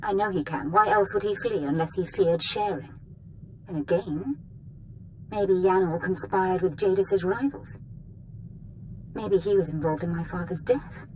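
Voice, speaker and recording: An actress playing a haughty, threatening young dark lord in a video game, speaking theatrically. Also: female voice, on the low side, a little slow, pauses often, a little hiss or hum, natural voice, rough recording. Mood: commanding. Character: imperious, menacing young female dark lord, daughter of a dead master. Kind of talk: scripted video game acting.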